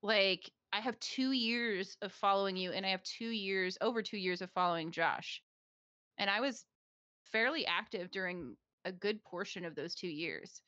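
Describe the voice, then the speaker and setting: A woman co-hosting a casual podcast chat, talking fast with lots of fillers and frequent laughs. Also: mid-range voice, bright, forceful tone, no echo, lo-fi sound. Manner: chatty, laughs often, many fillers. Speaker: podcast co-host, streamer.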